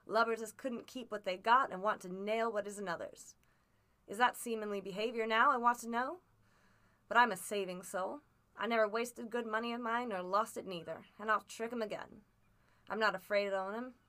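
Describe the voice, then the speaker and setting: A cursing old sea captain, sick in bed, as a woman reader puts him on, with rough sailor slang. His voice is feeble yet hearty and pushed a little louder than the narration, with a bright edge. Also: mid-range voice, steady tone, brisk, natural voice. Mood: defiant. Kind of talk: audiobook character voice.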